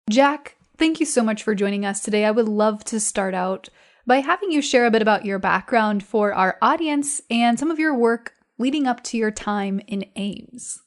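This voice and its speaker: A woman hosting a podcast, reading a polished introduction and asking the guest questions. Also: a little high. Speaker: podcast host. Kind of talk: scripted podcast interview.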